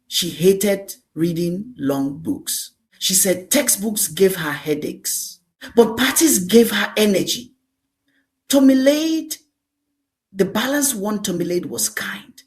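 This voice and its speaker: A woman narrating a scripted dramatic folktale as a storyteller, speaking deliberately in short, emphatic phrases. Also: mid-range voice, animated.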